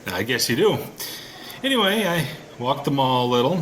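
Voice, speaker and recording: A skeptical American husband acted by a man, wry and hesitant yet curious. His mid-pitched voice swings expressively through casual audio-play dialogue, with obvious noise in the recording. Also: sing-song, normal speed, fairly bright tone, low-quality recording. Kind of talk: audio drama acting in casual American talk.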